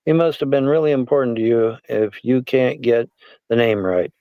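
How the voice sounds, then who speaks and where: A man's voice: medium pitch, normal speed, no room sound, narrow, tinny audio. Man in his 60s, livestream host, rambling, self-deprecating, dry sound. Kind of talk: casual livestream chat.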